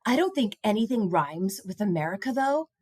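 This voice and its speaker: A woman's voice: medium pitch, sing-song, normal speed, a little mellow, breathy edge, dry recording, clean studio sound. A woman voicing a pondering young schoolboy poet who thinks aloud, in a picture-book reading. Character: musing young schoolboy poet, puzzling over rhymes.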